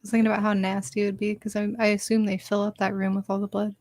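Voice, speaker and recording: A woman's voice: medium pitch, level tone, racing, darkish tone, no echo. A woman chatting casually as a panelist in a film review discussion. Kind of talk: casual chat.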